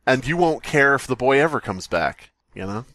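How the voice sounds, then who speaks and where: A man's voice: mid-range voice, animated, moderate pace, a bit bright, dry recording. A man co-hosting a podcast with casual comedic critique, wry and self-deprecating. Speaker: podcast co-host.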